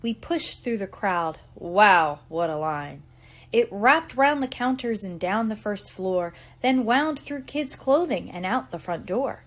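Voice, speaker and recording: A woman reading a picture book aloud to young children in an expressive, theatrical storytelling manner. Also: mid-range voice. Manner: deliberate, theatrical storytelling with acted emphasis. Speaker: preschool teacher reading aloud.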